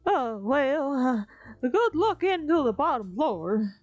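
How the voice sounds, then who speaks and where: Woman voicing a high-pitched, awkward monster janitor. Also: big pitch swings, slow, a little mellow, no room sound, full character voice. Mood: awkwardly friendly. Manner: hesitant, folksy, casual. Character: shifting lump of flesh janitor, friendly, awkward, evasive. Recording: dull recording with background music.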